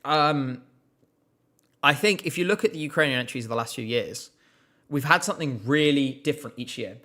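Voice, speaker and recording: A man's voice: medium pitch, expressive, moderate pace, bright, forceful tone. Chatty male livestream host. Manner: chatty, rambling with many fillers. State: slight cough. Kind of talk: casual live stream commentary.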